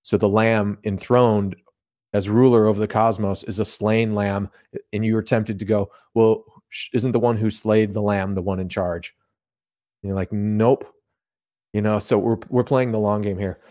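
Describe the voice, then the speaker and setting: A man's voice: lowish voice, steady tone, normal speed, darkish tone. A man talking casually and explaining ideas as a podcast guest, colloquial and given to voicing imagined remarks. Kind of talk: casual theological conversation.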